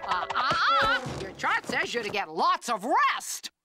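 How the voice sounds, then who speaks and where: A woman's voice: fairly high pitch, wildly swooping pitch, a little slow, music in the background. A woman voicing a bossy, strict, mean cartoon authority figure in an officious, scolding, mock-sweet manner. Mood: brisk, bossy. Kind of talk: animated cartoon voice acting.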